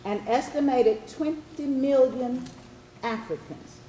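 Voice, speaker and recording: A woman's voice: sits high, takes it slowly, dark tone. A woman reading a scripted historical narration aloud as a theatre narrator, in an echoey room with faint noise. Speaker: narrator in readers theatre performance.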